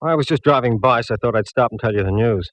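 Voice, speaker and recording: An actor playing a police lieutenant who talks in a dry, matter-of-fact way in an old radio drama. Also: male voice, mid-range voice, fast talker, fairly bright tone, natural voice. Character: gruff police lieutenant Mallard.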